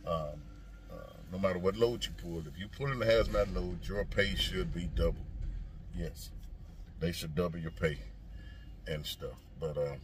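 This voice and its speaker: Man, lowish pitch, trucker vlogger, casual opinionated monologue, folksy, repetitive, emphatic, faint noisy ambience. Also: moderate pace.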